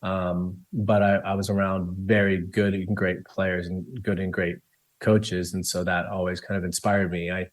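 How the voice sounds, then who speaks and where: A man's voice: deep voice, level tone, unhurried, no room sound. A man from Colombia, founder of a football club, answering interview questions in a rambling way, with many ums and restarts and easy laughs.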